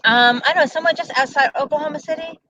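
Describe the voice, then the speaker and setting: A woman's voice: high voice, racing, sharp tone, lo-fi sound. A giggly, chatty woman from New York talking casually on a livestream. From New York, the Bronx and Long Island. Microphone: internet video call. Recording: noisy internet call with clicks.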